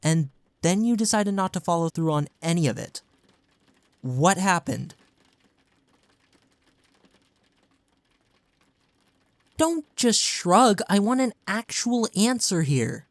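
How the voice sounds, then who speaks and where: A man's voice: high-pitched, no room sound, exaggerated voice. A young man's voice in an intimate audio roleplay, recorded very dry. He is acting as a caring college best friend speaks casually and earnestly, with a playful, teasing streak, as if to one listener. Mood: exasperated, upset. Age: young adult.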